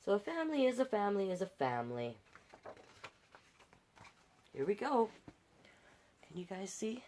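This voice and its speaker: A woman hosting a livestreamed storytime, reading picture books in an animated way with chatty, encouraging asides to viewers. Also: medium pitch, moderate pace, a little hiss or hum. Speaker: storytime host and reader.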